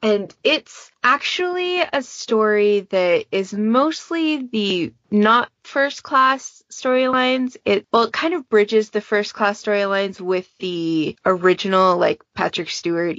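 A woman's voice: medium pitch, slow, hardly any pauses, a bit bright, no echo. A woman on a podcast panel, casually explaining her recommendations, recorded with slightly dull audio.

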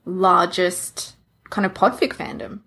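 Husky-voiced woman chatting as podcast co-host. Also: lowish voice, steady tone, takes it slowly, fairly bright tone. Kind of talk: casual chat.

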